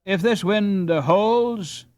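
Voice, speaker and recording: A man voicing a gruff, folksy Dutch boat skipper who talks in halting, rustic phrases in a storybook reading. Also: high voice, a little mellow, dry recording, voice pushed up. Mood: slow, reckoning. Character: gruff pipe-smoking Dutch boat skipper, a father, unhurried.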